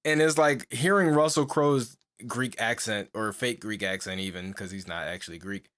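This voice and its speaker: A man's voice: lowish voice, normal speed, a bit bright. A young man co-hosting a podcast, talking in a loose, rambling way with lots of fillers and restarts. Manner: rambling, full of "like" and restarts, laughs often. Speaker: podcast co-host. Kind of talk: casual chat.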